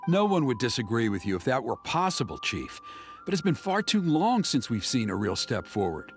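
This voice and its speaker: A man voicing a courteous, earnest village elder in a video game, speaking in a formal, measured, insistent manner. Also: medium pitch, very animated, gravelly, soft background music. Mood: worried, insistent. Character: earnest, courteous male village elder, anxious for his people. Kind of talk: video game character acting.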